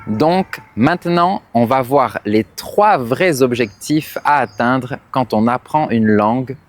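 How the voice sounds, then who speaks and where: A man's voice: mid-range voice, slow pace. A man working as an interviewer and French teacher, putting short, encouraging questions to people. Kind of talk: street interview with short questions and follow-ups.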